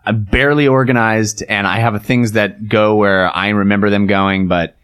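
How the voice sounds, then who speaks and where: A man's voice: on the low side, steady tone, normal speed, a bit bright, clear, resonant tone. A man co-hosting a podcast, chatting casually in a wry, playful way. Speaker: podcast co-host. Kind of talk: casual comedic chat.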